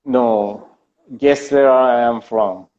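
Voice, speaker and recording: A man with an Iranian accent, a native Persian speaker, talks very slowly and haltingly in casual conversation. The sound is dull and lo-fi, as over an internet call. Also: mid-range voice, darkish tone. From Iran. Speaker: interview guest, illustrator. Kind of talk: casual video-call chat.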